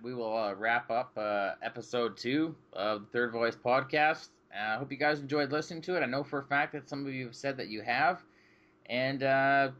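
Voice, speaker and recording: A man hosting a podcast in casual chat, making crude deadpan jokes. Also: mid-range voice. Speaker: podcast host. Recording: dull lo-fi audio with faint hiss.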